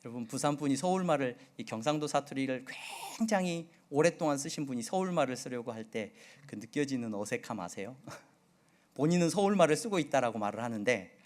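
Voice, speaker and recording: A middle-aged male pastor from the Jeolla region preaching a sermon, with a slight Jeolla accent, asking his congregation many questions and moving between loud and soft delivery. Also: medium pitch, expressive, a little fast. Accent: Seoul Korean with occasional Jeolla dialect. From Jeolla region, Korea.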